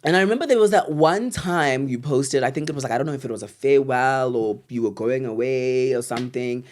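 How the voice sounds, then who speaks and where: A woman's voice: very deep voice, a little fast, a little mellow. A young South African woman co-hosting a podcast, chatting casually in an emphatic, slangy way. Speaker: podcast co-host. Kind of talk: casual chat.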